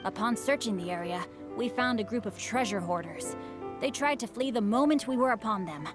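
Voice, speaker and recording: A woman's voice: a little high, lively intonation, brisk, over music, lightly acted. A woman voicing a courteous, self-assured, bookish young nobleman in a video game, speaking formally and eloquently with a theatrical touch. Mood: confident.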